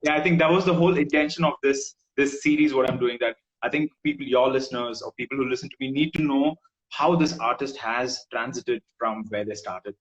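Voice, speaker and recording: A man from India hosting an interview, relaxed and casual, with plenty of fillers and agreeing backchannels. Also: mid-range voice, fairly quick, poor audio. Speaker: interview host.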